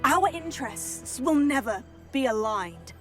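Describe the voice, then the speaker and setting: A woman's voice: high-pitched, big pitch swings, unhurried, a bit bright, faint music behind, exaggerated voice. A firm, polite young witch. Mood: defiant. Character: resolute young female witch student. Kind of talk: video game voice acting.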